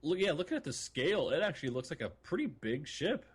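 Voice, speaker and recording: A man co-hosting a gaming podcast, chatting in a loose, informal and halting way. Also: on the high side, very animated, breathy edge. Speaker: podcast co-host. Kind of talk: casual gaming podcast chat.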